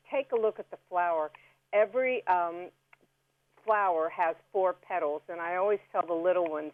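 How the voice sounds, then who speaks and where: A woman's voice: on the low side, no echo. A woman guest on a radio interview, explaining food and plants in a chatty, instructive way. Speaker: guest food and herb expert. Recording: phone-like narrow band radio call.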